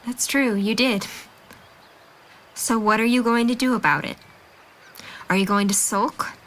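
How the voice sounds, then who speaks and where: A woman's voice: on the high side, steady tone, a little slow, fairly bright tone. A woman playing a candid, supportive young college student in an audio drama. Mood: earnest, candid.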